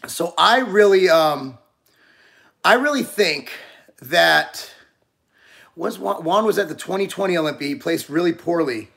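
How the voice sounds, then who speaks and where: Man, 40s, high gravelly voice, loose rambling co-host. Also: lively intonation, measured pace, pauses often, fairly bright tone. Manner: loose, rambling with frequent restarts. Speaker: podcast co-host. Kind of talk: casual chat.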